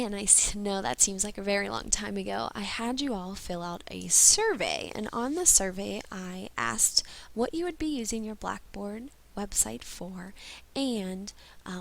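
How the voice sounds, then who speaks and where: A woman's voice: medium pitch, creaky, a bit bright, rough recording. A young woman, a teacher who sounds stuffy and under the weather, patiently walking colleagues step by step through a training session. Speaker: teacher leading staff training. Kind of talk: instructional screen-share walkthrough.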